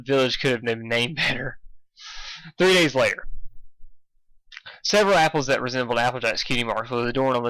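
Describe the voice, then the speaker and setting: A man's voice: mid-range voice, fairly bright tone, clear, resonant tone, no room sound, poor audio. A man narrating a story in an amateur way, stumbling over words and correcting himself. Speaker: fan fiction reader.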